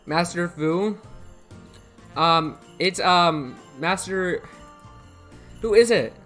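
A man's voice: high voice, extremely slow, fairly bright tone, soft background music. A young American man hosting a live game stream, chatting casually, reading out chat messages and thanking viewers by name over a headset mic. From United States. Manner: rambling, repeats himself, thanks viewers by name. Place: indoor room at a computer.

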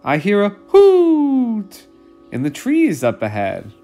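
A big lumbering monster from a storybook, acted out by a storyteller, slow-witted and easily impressed. The words are dragged out very slowly, over music. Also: mid-range voice, wildly swooping pitch, warm tone, voice pushed up, exaggerated voice. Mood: wary. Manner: slow, drawn-out delivery.

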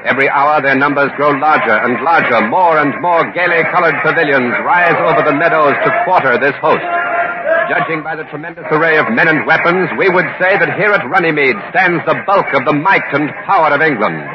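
An actor playing an earnest American radio correspondent, reporting formally in 1940s news style. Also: male voice, a little high, brisk, close to an everyday voice. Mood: grave, urgent. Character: earnest radio correspondent covering history live. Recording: muffled, noisy old radio broadcast.

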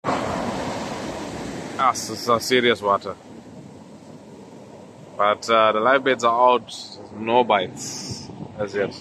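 Middle-aged South African man vlogging while he fishes, slightly low voice, easygoing and rambling with lots of fillers, loud surf and wind around the camera mic. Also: extremely slow, pauses often. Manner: relaxed, rambling, filler-heavy. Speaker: fishing vlogger. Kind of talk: casual vlog narration. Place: open beach by the surf. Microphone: handheld camera mic. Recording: windy beach with loud surf.

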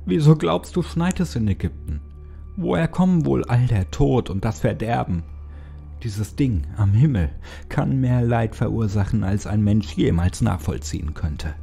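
A man's voice: on the low side, animated, unhurried, gravelly, warm tone, breathy edge, faint music behind, heavily acted. A man voicing a despairing, drugged Egyptian man in a horror story, bitter, defiant and impassioned. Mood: bitter, resigned. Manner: impassioned, raised, bitter delivery.

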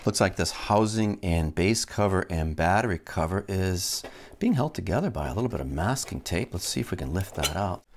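Deep-voiced man with lively intonation. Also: slight background noise. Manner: unhurried, narrates each step as he works. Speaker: host of a vintage electronics channel. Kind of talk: casual hands-on unboxing narration.

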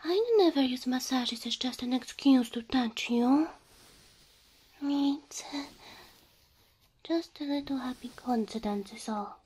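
Slow, breathy, high-voiced woman doing ASMR. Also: expressive. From Slavic Eastern Europe. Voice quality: soft, breathy. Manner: tender, slow, coaxing. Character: gentle, doting, submissive young cow-girl girlfriend. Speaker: ASMR roleplay performer. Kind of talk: ASMR roleplay. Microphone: close microphone.

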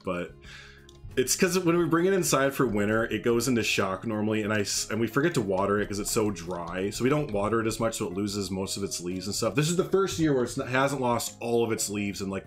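A man's voice: on the low side, expressive, fairly quick, faint music behind. A man in his thirties from Northern Illinois with a slight Chicago accent, chatting casually and jokingly with viewers while streaming a building game on a headset at home. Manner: rambling, jokey, chatty with viewers. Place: small room at home.

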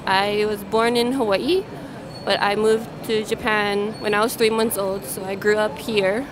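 A woman in her twenties, interviewed on a street at night, soft-spoken, with fillers. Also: medium pitch, steady tone, a little slow, low-quality recording. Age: 20s. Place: outdoors on a busy city street at night. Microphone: handheld mic. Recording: clear background music on a street.

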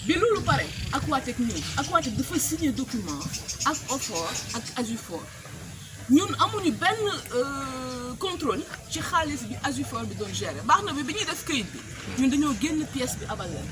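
A woman's voice: high voice, normal speed. A middle-aged Senegalese woman, a water company manager, speaks animatedly to a community meeting outdoors, mixing Wolof and French and defending her company's work. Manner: animated, switching between Wolof and French, gesturing with papers. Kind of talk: public address at a community meeting. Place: outdoors under a tree before a crowd. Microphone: far camera, no mic. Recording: loud outdoor noise, distant camera.

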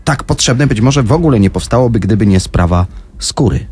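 A man's voice: on the low side, animated, fairly quick, a little mellow, breathy edge. A man hosting a conversational true-crime show on the radio, speaking speech from a studio. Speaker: radio host.

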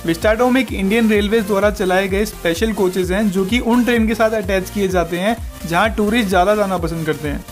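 A man with a high voice narrates a travel video as its host, reading a polished script in a smooth, upbeat tone. He recommends things warmly and confidently. A faint music bed runs under his voice. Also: a little fast, few pauses.

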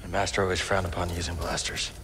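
An earnest young Jedi hero for a video game. His voice sits on the low side, understated and wry. It echoes in a big space over steady background noise. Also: fast. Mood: calm, warm. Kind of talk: cinematic video game acting.

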